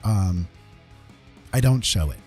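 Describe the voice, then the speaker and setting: A man chatting casually on an art livestream in a halting, rambling, apologetic way, talking into a microphone at his desk. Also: fairly low pitch, lively intonation, darkish tone. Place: indoors at a computer desk. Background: guitar rock music.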